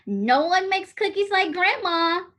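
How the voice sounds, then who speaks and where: A woman's voice: extremely high pitch, lively intonation, a little slow, sharp tone, voice pushed up, exaggerated voice. A woman voicing a cheerful, generous mother in a children's storybook, with a sing-song, welcoming manner. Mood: proud, warm. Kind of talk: children's storybook read-aloud.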